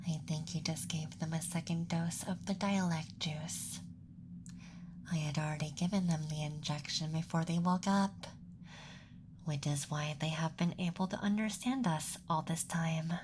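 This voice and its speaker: A performer voicing a caring, apologetic alien examiner in a soft, breathy, reassuring ASMR whisper close to the microphone. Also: medium pitch, steady tone, background noise, lightly acted. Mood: concerned. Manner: gentle, slow, reassuring. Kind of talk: ASMR roleplay.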